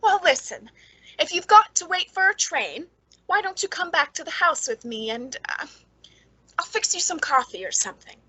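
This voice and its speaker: A woman's voice: very high-pitched, very animated, normal speed, pushed and bright, dry recording, exaggerated voice, poor audio. An actress playing a lonely, eager, nervously polite woman in an old-time radio suspense drama, hesitant and genteel. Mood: nervous, friendly.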